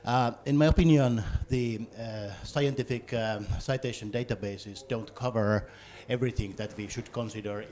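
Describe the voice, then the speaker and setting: A man in the audience making a deliberate, measured comment during the question session. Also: mid-range voice, fairly even intonation, a little mellow. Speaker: audience member asking a question. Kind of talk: question from the floor.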